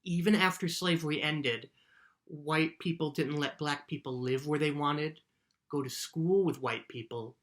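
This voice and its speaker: A woman playing a calm, patient mother in a children's book reading, explaining in a measured way. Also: low voice. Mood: gentle, serious.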